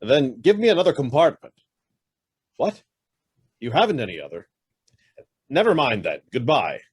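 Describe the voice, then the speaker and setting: A man's voice: mid-range voice, sing-song, moderate pace, breathy edge. An actor playing a curt, demanding middle-aged businessman who is suspicious and hiding something, in a radio drama. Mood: brisk, commanding. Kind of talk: stage-style radio drama acting.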